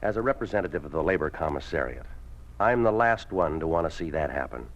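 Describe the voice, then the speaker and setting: Low-voiced, domineering communist official. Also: male voice, expressive, fast talker. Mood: slick, pressing. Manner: curt, commanding, slickly persuasive. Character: smooth, domineering male communist labor official. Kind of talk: 1950s radio drama acting. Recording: muffled, noisy 1950s radio recording.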